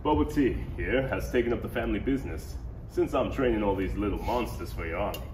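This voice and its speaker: An echoing room with faint noise carries a man acting an armored bounty hunter father in a sci-fi parody. His low voice is cool and boastful, a father worn thin by unruly kids, and it moves up and down with lively range. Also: fast, close to an everyday voice. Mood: easygoing, proud. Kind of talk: live table read of parody script. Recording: echoey room, distant mic, faint noise.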